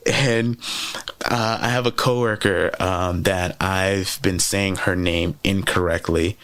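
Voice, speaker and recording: A man's voice: low voice, steady tone, slow pace, a bit bright, clear, resonant tone. A man whose first language is French gives a motivational teaching talk as a podcast host, chatty and repeating key phrases with frequent "right?" checks.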